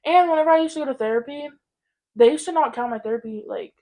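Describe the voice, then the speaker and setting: A teenage girl with a fairly high voice talks as a podcast co-host. Her delivery is casual, candid and informal, with "like" scattered through her sentences. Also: fast, dark tone. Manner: candid, informal, heavy use of "like".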